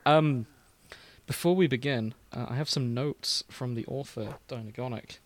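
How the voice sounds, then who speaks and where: A man co-hosting a podcast, chatting casually and reading fan fiction aloud with a wry, deadpan manner. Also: medium pitch, normal speed. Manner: wry, deadpan, laughs at his own lines.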